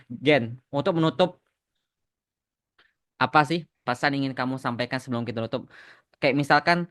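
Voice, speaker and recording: A man's voice: medium pitch, a bit bright, clear, resonant tone, no echo, studio quality. An Indonesian man hosting a casual podcast chat, dropping English phrases into his speech. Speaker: podcast host and content creator.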